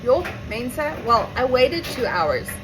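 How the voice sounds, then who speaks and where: A woman's voice: fairly high pitch, sing-song, unhurried, music in the background. A young woman with an Afrikaans accent, from South Africa, telling a rambling, chatty story to camera with asides and self-corrections. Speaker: vlogger. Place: home with appliances running. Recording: noisy home recording.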